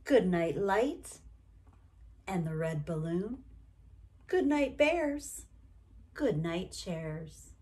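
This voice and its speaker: A middle-aged woman reads a bedtime picture book for little ones. Her delivery is very unhurried and gentle, with pauses between phrases. The pitch rises and falls widely in a singsong storytelling way. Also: animated. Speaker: bedtime story reader and host. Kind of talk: reading a picture book aloud to young children. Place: small bright room at home, indoors.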